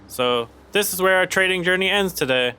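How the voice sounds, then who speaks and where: A man's voice: sits high, lively intonation, a little fast, sharp tone, smooth. A man playing a mild earnest oddball. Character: quirky earnest young man who trades things.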